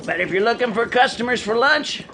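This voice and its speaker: An actor playing a grizzled, joshing old stagecoach driver in a radio drama, with a folksy drawl. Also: male voice, very high-pitched, lively intonation, a little fast, sharp tone, a little hiss or hum, exaggerated voice.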